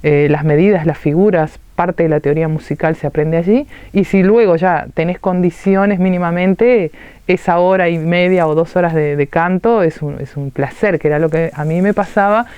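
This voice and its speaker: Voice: medium pitch, steady tone, moderate pace, darkish tone, smooth, no room sound, muffled recording. A musician from Uruguay, raised in Switzerland and first speaking Italian, fondly recalling school days in Rioplatense Spanish during an interview. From Uruguay, raised in Ticino, Switzerland. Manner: reminiscing, fond, with small restarts. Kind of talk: personal recollection in an interview.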